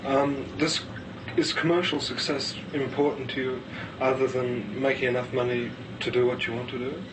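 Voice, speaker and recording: A man's voice: medium pitch, level tone, moderate pace. An Australian man asking questions as an interviewer. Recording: distant, noisy 1970s interview tape.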